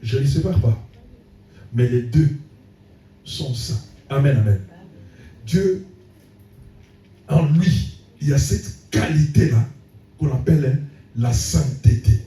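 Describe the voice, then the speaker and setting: A man's voice: medium pitch, animated, slow pace, long pauses, dark tone. A man preaching a sermon as pastor, punctuating his points with frequent calls of amen. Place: church hall. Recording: echoey church room, voice from a distance.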